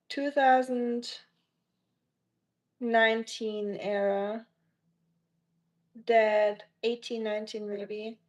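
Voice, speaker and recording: A woman's voice: medium pitch, a little mellow. A woman from Germany with a German accent, chatting casually on a livestream and answering viewers in a rambling, teasing way.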